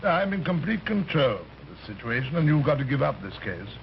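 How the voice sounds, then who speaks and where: Fat supernatural villain, suave, condescending, measured hesitations, old radio thriller, muffled hissy sound. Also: male voice, on the high side, big pitch swings. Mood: condescending, threatening. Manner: unhurried, hesitant "uh"s, smoothly condescending.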